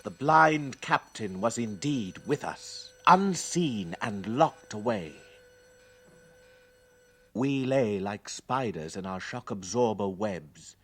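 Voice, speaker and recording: A man's voice: medium pitch, a bit bright. A man acting as an awed spaceship crewman, giving grand, lyrical and solemn narration in a radio drama. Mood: grand dramatic. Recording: faintly noisy 1960s radio recording.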